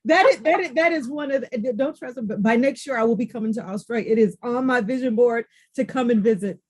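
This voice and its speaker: A woman's voice: a little high, quick pace, slightly rough. A woman hosting a podcast interview, effusive and enthusiastic, often repeating her phrases. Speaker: podcast host.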